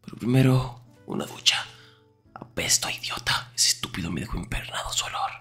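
A man acting a grumpy, jealous, protective young best friend in an intimate Spanish-style ASMR roleplay, breathy and often whispering, defensive and sarcastic. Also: lowish voice, lively intonation, fairly quick, pushed and bright, faint music behind, full character voice. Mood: teasing, sarcastic.

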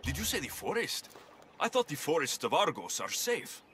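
An actor playing a righteous young warrior in a video game, intense, confrontational and protective. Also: male voice, fairly high pitch, wildly swooping pitch, moderate pace, fairly bright tone, a little hiss or hum. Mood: concerned. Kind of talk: dramatic video game acting.

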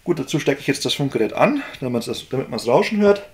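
A man's voice: mid-range voice, very animated, fast talker. A man with a German accent, a native German speaker, giving a casual hobbyist demonstration. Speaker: amateur electronics hobbyist presenter.

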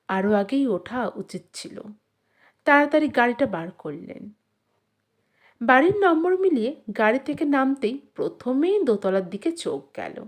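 A woman narrates a scripted story in a somewhat high voice. Her reading is very unhurried and measured, with lively rises and falls that give the literary prose dramatic expression. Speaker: audiobook narrator.

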